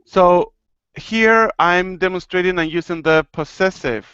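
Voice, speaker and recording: A man from Argentina teaching an online Spanish class, playful and clear, slowing down to model phrases. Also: high-pitched, bright, forceful tone, no echo, highs cut off. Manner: playful, laughing, slow and clear when teaching. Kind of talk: live online language class.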